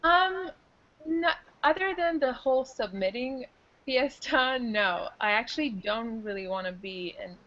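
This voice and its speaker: A woman's voice: on the high side, animated, measured pace, fairly bright tone. A woman singer and composer, raised in the Middle East, chatting casually about her music with many fillers. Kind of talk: casual discussion. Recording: dull lo-fi audio.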